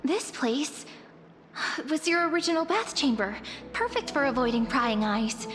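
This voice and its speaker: An actress playing a sweet, polite and curious young heroine in a game, with a gentle, earnest way of talking. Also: female voice, high-pitched, lively intonation, a little slow. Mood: thoughtful. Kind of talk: game character voice acting. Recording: long reverb, music behind. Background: music.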